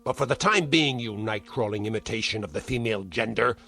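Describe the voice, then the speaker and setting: An actor playing a spiteful, frail old uncle in a vintage television drama, speaking in ornate, sardonic, theatrical phrases. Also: male voice, fairly low pitch, brisk, vocal fry, pushed and bright, soft background music, exaggerated voice. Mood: scornful, bossy. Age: older.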